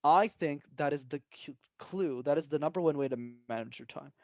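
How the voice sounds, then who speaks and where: Energetic young man, bright voice. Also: on the high side, brisk, creaky, dry recording, studio quality. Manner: energetic, emphatic, often adds "right?". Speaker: guest, public speaking coach. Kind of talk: casual podcast interview.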